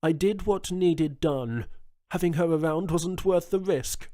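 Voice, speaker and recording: A man voicing a calm, firm, dismissive male pirate lieutenant. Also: on the high side, measured pace, no room sound, clean studio sound, pitched above the performer's own voice, lightly acted. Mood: cold.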